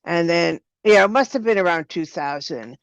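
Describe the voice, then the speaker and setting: An older woman with a low, smooth voice, speaking conversationally as an interview guest about her work. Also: animated, normal speed, no room sound, muffled recording. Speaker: guest, writer and director.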